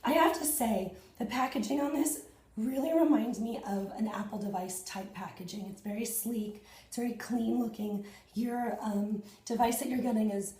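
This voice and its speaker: A woman in her thirties, hoarse and husky after losing her voice, chatting excitedly to viewers in a casual unboxing vlog at home. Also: fairly high pitch, normal speed, roomy sound. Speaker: fitness vlogger. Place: small indoor room at home.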